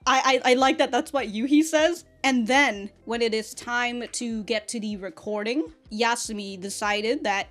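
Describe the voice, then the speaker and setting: A woman giving casual, off-the-cuff reaction commentary as a review host, rambling, slangy and animated, with some swearing. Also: on the high side, measured pace, hardly any pauses.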